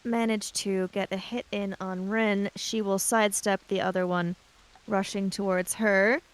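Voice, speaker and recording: A woman's voice: medium pitch, flat delivery, a little slow, poor audio. Female tabletop player, mid-range voice, casual conversational delivery with improvised story narration. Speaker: player in a tabletop roleplaying game podcast.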